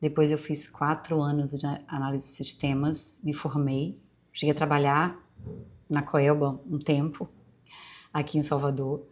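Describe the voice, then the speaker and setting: A woman in her forties with a Bahian Brazilian accent softened by years in Rio, hosting a podcast in a rambling, confiding personal monologue full of asides. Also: deep voice, little pitch movement, measured pace. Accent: Brazilian Portuguese, Bahian softened by years in Rio. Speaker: podcast host.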